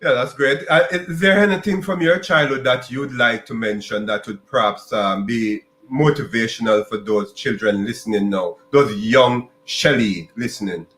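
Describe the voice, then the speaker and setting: A man's voice: mid-range voice, moderate pace, hardly any pauses, fairly bright tone, echoey room. A man from Jamaica, hosting a podcast interview and asking long, rambling questions with many restarts and repeated words. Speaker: podcast host.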